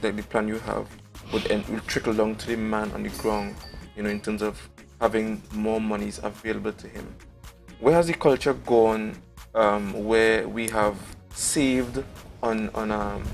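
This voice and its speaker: A man's voice: fairly low pitch, vocal fry. A man hosting a morning talk show, asking a long question with many fillers and restarts. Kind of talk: political talk show discussion.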